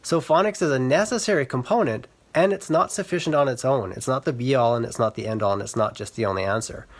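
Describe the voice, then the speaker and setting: A man speaking as an educator, giving an earnest, measured explanatory talk for social media. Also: mid-range voice. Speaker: reading specialist and educator.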